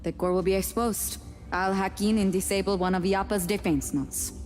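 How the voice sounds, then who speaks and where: A woman's voice: mid-range voice, fairly even intonation, normal speed, darkish tone, in a large hall, faint music behind, lightly acted. An actress playing a confident, tech-savvy young woman hacker in a video game cutscene. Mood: confident, probing.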